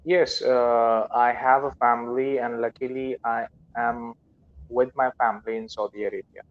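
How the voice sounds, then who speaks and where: A man's voice: medium pitch, little pitch movement, moderate pace. A man from Pakistan with a Pakistani accent, a non-native English speaker practising conversation politely and carefully over an online call. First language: not English. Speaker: English learner and schoolteacher. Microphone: video call. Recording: muffled online call audio.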